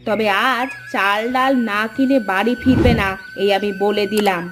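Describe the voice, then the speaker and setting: A woman's voice: mid-range voice, moderate pace, soft background music, close to an everyday voice. A woman voicing a nagging, demanding wife with a scolding manner in a cartoon folk tale. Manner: scolding, sharp-tongued.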